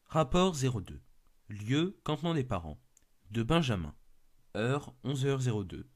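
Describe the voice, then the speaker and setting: A man's voice: medium pitch, big pitch swings, unhurried. A man narrating a scripted first-person horror story.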